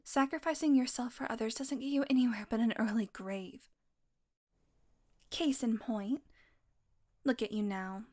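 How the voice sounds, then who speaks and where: High-voiced, sardonic vampire woman, acted out by a woman. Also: a little mellow, close to an everyday voice. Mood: bitter, exasperated. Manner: sarcastic, playful, casually profane. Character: sardonic, flirtatious female vampire, teasing and brash. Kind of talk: intimate audio roleplay monologue.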